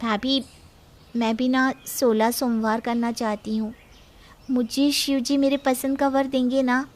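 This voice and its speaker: A woman's voice: high-pitched, flat delivery, a little slow, voice pushed up. A woman voicing a sweet, eager young sister-in-law in a Hindi moral story, girlish and pleading. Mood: curious, hopeful.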